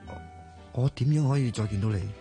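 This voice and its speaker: A man's voice: mid-range voice, moderate pace, mellow, faint music behind, natural voice. A man playing a flustered, earnest young man. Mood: flustered polite. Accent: Hong Kong Cantonese. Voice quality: hesitant, flustered. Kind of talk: broadcast radio drama acting.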